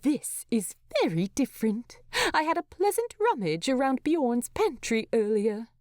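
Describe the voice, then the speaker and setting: A woman's voice: a little high, big pitch swings, measured pace, a little mellow, dry recording, studio quality, pitched above the performer's own voice. A woman voicing a chatty, fussy, cheeky male hobbit for a storybook, with a prim, wry manner. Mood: cheerful, teasing.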